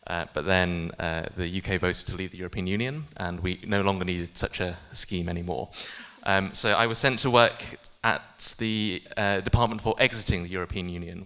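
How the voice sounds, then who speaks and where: A man's voice: low-pitched, bright, forceful tone, clean tone. A man with a British accent speaking as a trade official on a panel, polite and formal, with frequent uhs. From New Zealand, raised in the UK. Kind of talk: panel remarks.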